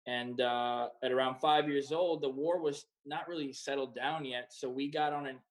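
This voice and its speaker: A man in his twenties, a professional soccer player who grew up in Croatia and the United States, answering in a relaxed way with many fillers. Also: mid-range voice, moderate pace, rough recording. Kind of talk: casual interview answers.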